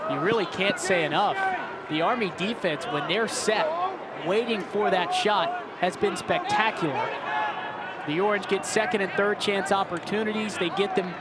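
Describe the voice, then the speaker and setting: A man's voice: high-pitched, moderate pace, over a noisy crowd, very noisy. A man in his twenties working as a sports broadcaster, hosting and calling play-by-play. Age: 20s.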